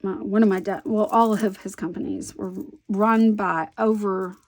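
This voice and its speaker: A woman from the American South with a softened Southern accent, rambling and amusing herself as she narrates her scrapbooking. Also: medium pitch, fairly even intonation, normal speed. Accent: light Southern American. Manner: rambling, self-amused, talks to herself. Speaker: scrapbooking vlogger. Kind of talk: chatty crafting commentary while working.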